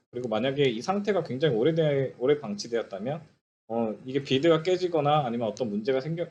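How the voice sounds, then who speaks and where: Male tech presenter, average pitch, courteous explainer with hesitant pauses, dull-sounding recording. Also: a little mellow, a little hiss or hum. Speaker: software developer presenting at a conference. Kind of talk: technical presentation. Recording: dull lo-fi audio.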